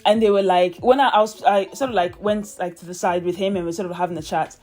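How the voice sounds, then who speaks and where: A young woman from Nigeria talks in a British accent, her voice sitting a little low for a woman. She rambles in a loose, chatty way, full of fillers and restarts. Faint music plays softly behind her. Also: fairly even intonation, fast. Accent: British, with a light Nigerian tinge. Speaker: YouTuber. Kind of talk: casual vlog monologue.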